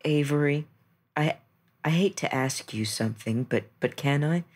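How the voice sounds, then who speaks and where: A woman's voice: low voice, moderate pace. A woman voicing a timid, polite large bird in a hesitant, apologetic way for a tabletop game.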